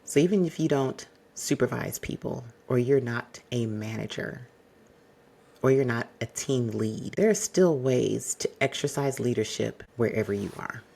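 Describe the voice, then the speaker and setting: A man hosting an advice podcast, speaking to the listener in a polished, exacting and fairly monotone way. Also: medium pitch, gravelly, slightly rough. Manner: measured, exacting, addresses listener directly. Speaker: podcast host.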